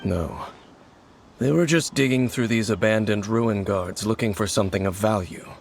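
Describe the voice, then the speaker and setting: An actor playing a brooding, secretive wanderer in a video game, speaking in measured, formal, grave phrases. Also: male voice, on the low side, gravelly, slight background noise. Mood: grave, guarded. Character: brooding, secretive male wanderer investigating monsters, solemn and cryptic. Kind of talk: video game character dialogue. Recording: music behind the voice.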